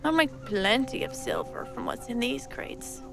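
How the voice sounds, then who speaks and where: A woman's voice: high voice, huge pitch swings, measured pace, vocal fry, music in the background, full character voice. A woman voicing a shrewd but kind older female pirate captain, speaking in a wry, confident, teasing way, in a cartoon dub. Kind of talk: fan comic dub acting.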